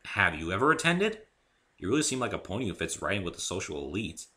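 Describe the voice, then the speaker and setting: A man's voice: low voice, very animated, fast talker, sharp tone, heavily acted. A man voicing a polite, curious male visitor in a story, casual and a little hesitant.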